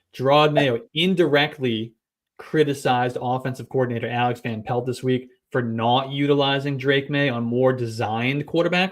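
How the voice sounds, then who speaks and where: A man hosting a fantasy football podcast, delivering brisk, stat-heavy analysis in casual chat. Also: medium pitch. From New England.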